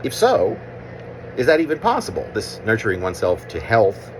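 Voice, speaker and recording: A man reading his own literary poem aloud with deliberate phrasing, pauses and repetitions. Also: lowish voice, wildly swooping pitch, a little hiss or hum, rough recording. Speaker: poet reading own work.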